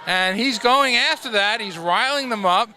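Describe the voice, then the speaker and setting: A man's voice: high voice, normal speed, rough recording. A man protester outdoors speaking bluntly and scornfully, at times raising his voice. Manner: blunt, scornful, rising to shouts.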